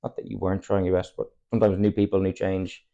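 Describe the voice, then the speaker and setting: A man's voice: low voice, monotone, fast talker, creaky, warm tone, highs cut off. A man in his twenties with an Irish accent, a native Irish speaker, chatting candidly as a footballer guest on a podcast, rambling and chuckling often. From Ireland, Cork. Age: 20s. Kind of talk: casual podcast chat.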